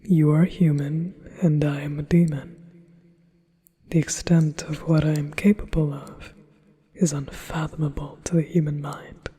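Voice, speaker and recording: A man voicing a possessive, manipulative male demon in a whispered ASMR hypnosis roleplay, speaking in a slow, soothing whisper. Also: a little high, flat delivery, mellow, slightly rough. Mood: coaxing.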